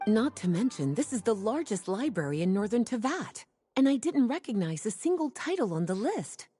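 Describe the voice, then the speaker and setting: Casting: coy, languid female librarian, anime game, mellow tone, lively intonation. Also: on the high side, normal speed, husky, no room sound, soft background music. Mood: teasing. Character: sultry, teasing, worldly adult female librarian.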